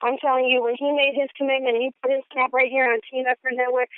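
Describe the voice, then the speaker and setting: Woman, high voice, bubbly conference call host, tinny phone line, dry. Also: clear, resonant tone, dry recording. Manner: bubbly, repeats welcomes. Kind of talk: upbeat conference call hosting.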